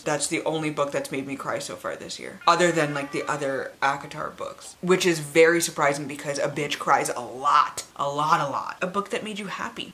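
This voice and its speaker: Woman, late 20s, low register. Casual book vlogger, candid, self-deprecating, loosely rambling. Faintly noisy home recording. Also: bright, forceful tone. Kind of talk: casual, chatty book vlog.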